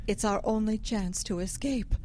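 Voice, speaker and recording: An actress playing an imperious, sceptical, sharp-tongued woman in a horror audio drama, with a commanding, clipped manner. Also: mid-range voice, moderate pace, a little hiss or hum, lightly acted. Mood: intent.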